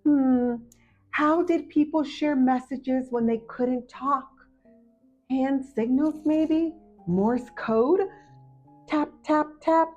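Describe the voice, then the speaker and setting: A woman's voice: sits high, very slow, voice pushed up. A woman voicing an eager, talkative young schoolgirl who blurts out facts in a children's picture book read-aloud. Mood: pondering. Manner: excitable, blurting, hesitant ums. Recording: muffled audio with faint music behind.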